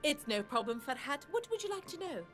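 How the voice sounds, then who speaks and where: High, lively schoolgirl. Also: fairly quick, soft background music. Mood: helpful, cheerful. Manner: precise, explanatory. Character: bright, bookish, polite schoolgirl adventurer. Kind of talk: animated adventure acting.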